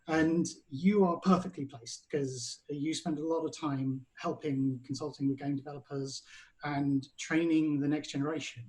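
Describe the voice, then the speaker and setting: A man's voice: a little high, fairly even intonation, moderate pace, a little mellow, poor audio. A man hosting a podcast, asking questions in a rambling way and stumbling over his phrasing. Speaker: podcast host.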